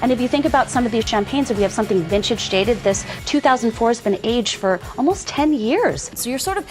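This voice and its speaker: A woman's voice: on the high side, animated, quick pace. A woman speaking as a guest sommelier and champagne educator, explaining and demonstrating wine on television. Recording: music laid over TV clips.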